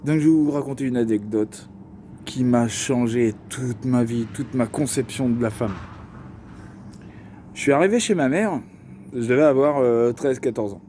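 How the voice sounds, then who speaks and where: Slangy Parisian man, dark mellow voice. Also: mid-range voice, moderate pace, a little hiss or hum. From Paris region. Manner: slangy, joking asides, many fillers. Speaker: vlogger. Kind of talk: casual storytelling to camera.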